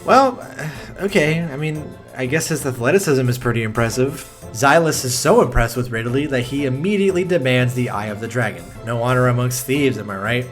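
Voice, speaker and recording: A man's voice: mid-range voice, a little fast, few pauses, faint music behind. A young man narrating a sarcastic film review, full of mocking asides and swearing. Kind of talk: sarcastic movie review commentary.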